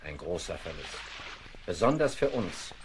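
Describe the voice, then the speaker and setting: An actor playing a grave, kindly naval companion in an audio drama, speaking in a solemn, measured manner. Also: male voice, deep voice, very animated, noisy background. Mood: grave mourning.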